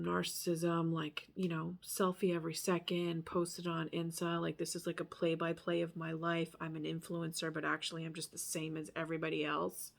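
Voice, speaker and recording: Lowish gravelly woman doing a tarot reading, candid and rambly, kinda thinking out loud, trails off quiet sometimes. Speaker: tarot reader.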